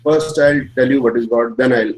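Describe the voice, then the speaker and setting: A man's voice: medium pitch, fairly even intonation, moderate pace, a little hiss or hum, rough recording. A man with an Indian accent, a native Hindi speaker, teaches an astrology class in simple English and often checks in with "right?". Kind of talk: classroom lecture.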